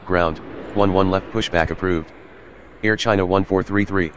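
A man's voice as a simulated pilot, reading back clipped radio calls in a flat, clipped way. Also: sits low, moderate pace, dull audio, slight background noise, lightly acted.

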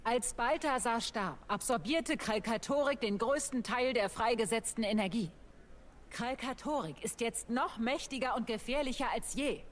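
A heroic female commander from a video game, played by an actress, serious and composed. Her voice sits a little high, with faint noise behind it. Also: normal speed, natural voice.

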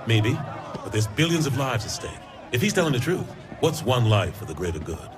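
A man's voice: medium pitch, big pitch swings, a little fast, over music, crowd noise, close to an everyday voice. A man playing an intense, paranoid, brainwashed politician in a video game, dramatic and vehement. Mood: conflicted, grim.

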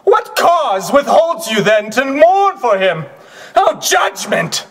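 A man performing an impassioned Roman statesman in a declamatory, theatrical Shakespearean monologue, with a husky voice. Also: mid-range voice, expressive, takes it slowly. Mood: grief-stricken outcry. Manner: declamatory, swinging from whispers to shouts. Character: impassioned Roman statesman orating grief and war.

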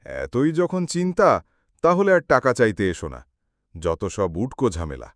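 A man's voice: mid-range voice, huge pitch swings, moderate pace, no room sound, studio quality. A man voicing a hard-hearted, irritable boss in a cartoon, curt and dismissive. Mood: harsh, dismissive. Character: hard-hearted, irritable adult male boss refusing wage advances. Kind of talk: cartoon dialogue acting.

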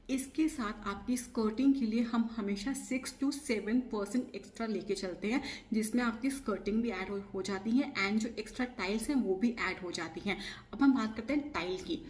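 A young woman in her twenties explaining renovation costs straight to camera in a brisk, instructive way. She switches between Hindi and English terms, recorded close up in a small room. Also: a little high, a little mellow, slight background noise. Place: small indoor room against a plain wall. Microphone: camera facing her at close range.

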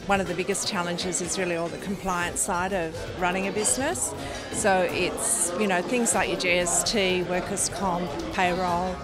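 Woman, 50s, slightly low voice, businesslike, handheld mic, loud music behind. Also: steady tone, normal speed, over a noisy crowd. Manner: composed, businesslike. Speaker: small business owner. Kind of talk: vox pop interview answer. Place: indoor function room. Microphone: handheld interviewer mic. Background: loud background music.

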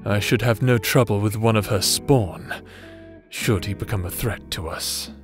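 Male actor as villainous necromancer husband, romantic ASMR roleplay, unhurried, theatrical, teasing, old-fashioned diction, faint music underneath. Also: on the low side, lightly acted. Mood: musing. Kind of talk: romantic ASMR roleplay, scripted audio drama. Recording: soft instrumental music bed behind voice.

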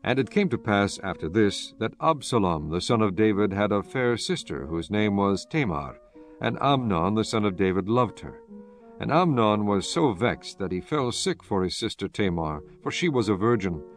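A man's voice: fairly low pitch, big pitch swings, normal speed. A man narrating scripture as a scripted dramatized reading, in a formal, solemn storytelling manner. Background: background music.

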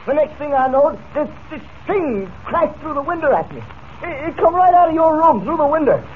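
High-voiced, frightened cowboy henchman. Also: sing-song, moderate pace. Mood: terrified. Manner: stammering, folksy rural speech. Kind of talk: 1940s radio serial acting. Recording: tinny, noisy 1940s radio broadcast.

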